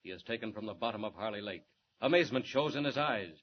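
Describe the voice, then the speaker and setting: A man's voice: fairly low pitch, expressive, fast talker, lightly acted. A man performing as the theatrical, grave and urgent narrator of a 1940s radio adventure serial. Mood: suspenseful. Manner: theatrical, portentous. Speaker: announcer and narrator. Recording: muffled, noisy 1940s radio recording.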